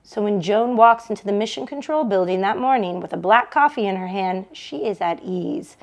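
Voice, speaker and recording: A woman hosting a book club read-along, reading a novel aloud to an online audience with expressive delivery. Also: medium pitch, normal speed.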